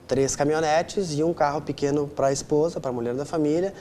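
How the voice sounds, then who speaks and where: A man's voice: a little high, steady tone, fairly quick, warm tone, slight background noise. A man in his twenties from southern Brazil with a southern Brazilian accent, answering conversationally as an interview guest and often adding tag questions for agreement. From Rio Grande do Sul, southern Brazil. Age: 20s.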